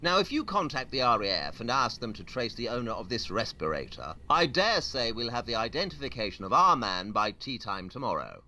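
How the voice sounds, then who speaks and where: A man's voice: mid-range voice, very animated, brisk, hardly any pauses, sharp tone. A man playing a brilliant, imperious detective with a theatrical, pompous and incisive manner in an audio play. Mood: superior, dismissive.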